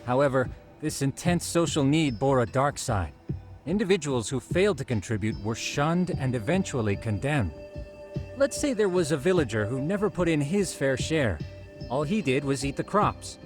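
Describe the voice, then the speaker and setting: A man acting a bookish, earnest game detective delivering a briefing. His mid-pitched voice rises and falls freely as he explains with scholarly precision. Music plays clearly behind him. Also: very animated, moderate pace, natural voice. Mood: earnest, lecturing. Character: nerdy, earnest young male detective lecturing on science. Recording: background music under the dialogue.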